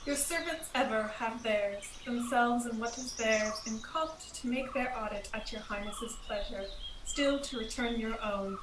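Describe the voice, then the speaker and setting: A woman plays a courteous, deferential noblewoman in a Shakespeare radio drama. The voice is slightly high and formal. It sounds echoey and set back from the mic, amid birdsong. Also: measured pace, close to an everyday voice. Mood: gracious, deferential. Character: Lady Macbeth as falsely gracious, deferential hostess. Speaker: student actor. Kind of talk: amateur Shakespearean radio play acting. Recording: roomy, distant, noisy, birdsong behind.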